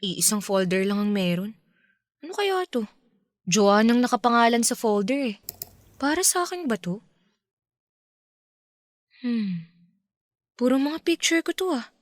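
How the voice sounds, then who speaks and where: A woman's voice: fairly high pitch, very animated, measured pace, long pauses, no echo, studio quality. A woman acting a hurt, outraged young girlfriend in a Filipino radio drama. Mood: curious, hushed. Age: young adult. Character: hurt, outraged young woman confronting her deceitful boyfriend.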